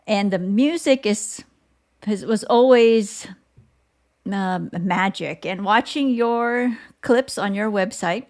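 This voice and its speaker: A woman hosting a podcast, speaking in a conversational interview style with halting, reflective phrasing. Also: medium pitch, darkish tone. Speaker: podcast host.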